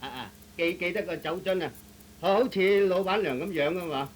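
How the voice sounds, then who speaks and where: A man playing a slow-witted, literal-minded young shop servant in a Cantonese comic radio play, hesitant and earnest. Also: high voice, lightly acted. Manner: dim, hesitant, pedantically literal. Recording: noisy old radio recording.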